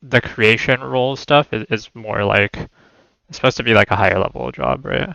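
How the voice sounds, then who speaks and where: A man's voice: mid-range voice, moderate pace, gravelly, bright, forceful tone. A man in his early twenties in casual conversation on a close, dull-sounding microphone. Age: 20s. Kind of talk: casual chat.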